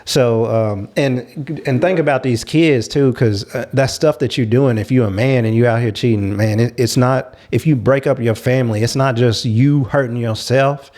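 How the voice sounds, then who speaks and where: A man's voice: medium pitch, normal speed, hardly any pauses, a little mellow. A man hosting a casual panel discussion, giving advice in an earnest, emphatic way. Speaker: talk show host.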